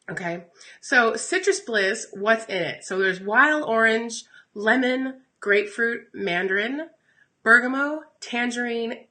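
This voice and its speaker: A woman in her thirties talking casually on a live stream, her voice a little raspy and congested from a recent illness, addressing her viewers directly. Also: medium pitch, bright, forceful tone. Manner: chatty and upbeat, addresses viewers directly with "you guys" and "okay?". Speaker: essential oils educator streaming live. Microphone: phone or webcam for live stream.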